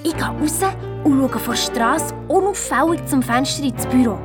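A woman's voice: high voice, moderate pace, over music, lightly acted. A woman playing a confident, eager young hotel owners' daughter in a children's audio drama, telling her story with a Swiss German accent. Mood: intrigued, conspiratorial.

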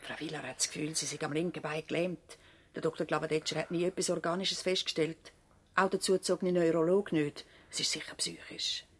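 A woman's voice: deep voice, steady tone, fairly quick. Bustling Swiss female medical assistant. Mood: matter-of-fact. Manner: organising, matter-of-fact, urging. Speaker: actor. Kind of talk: naturalistic radio drama acting.

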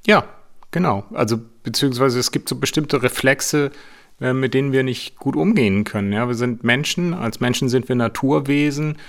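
A man's voice: medium pitch, normal speed. A man speaking as an expert in an interview, explaining in a reasoned, instructive way. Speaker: financial expert being interviewed. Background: music.

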